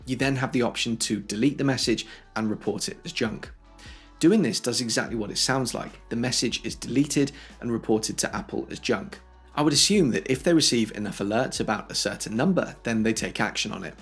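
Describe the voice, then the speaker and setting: A man presents a how-to video in a slightly husky, mid-pitched voice. He walks through instructions step by step in a conversational manner. Faint music plays behind him. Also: brisk. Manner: clear step-by-step explaining, conversational. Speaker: tech tutorial host.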